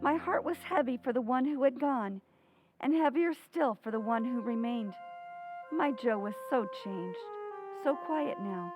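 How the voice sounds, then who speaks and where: Woman playing a tender mother, high-pitched. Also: lively intonation, a little slow, highs cut off, over music. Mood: sorrowful, reflective. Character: tender, sorrowful mother narrating her family's story. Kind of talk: old-time radio drama narration and acting.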